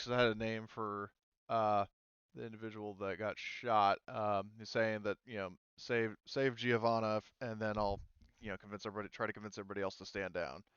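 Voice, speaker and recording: Low, clear male game master, roomy sound. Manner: halting, thinking aloud. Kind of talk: running a tabletop roleplaying game. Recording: roomy, slightly muffled microphone.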